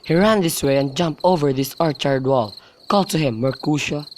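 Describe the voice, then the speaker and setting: Casting: woman as loyal young man, very low female pitch, earnest and plain, slightly noisy amateur radio play. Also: normal speed, a little mellow, lightly acted. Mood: concerned. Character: Benvolio, worried loyal young male friend. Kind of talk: amateur student radio drama of Shakespeare in modern English. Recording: noisy home recording with clicks.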